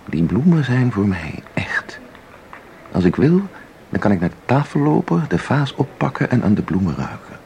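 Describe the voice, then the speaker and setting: Intense, creaky-voiced mysterious man. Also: fairly low pitch, very animated, a little mellow, slight background noise. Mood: dreamy, fervent. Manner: insistent, deliberate, theatrical. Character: intense, mysterious man who claims to enter paintings. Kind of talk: Dutch radio drama acting.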